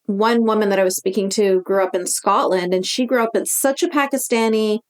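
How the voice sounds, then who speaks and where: Canadian-accented woman guest, emphatic storyteller. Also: mid-range voice. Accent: Canadian English. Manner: emphatic, fast-flowing storytelling. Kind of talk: conversational interview.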